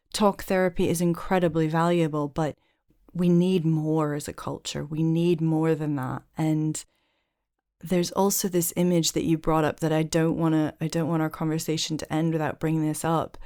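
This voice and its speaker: A woman's voice: low voice, a little mellow, clear, resonant tone. A woman hosting a podcast interview, talking earnestly and thoughtfully, building her points in long connected runs. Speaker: podcast host. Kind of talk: reflective conversational interview.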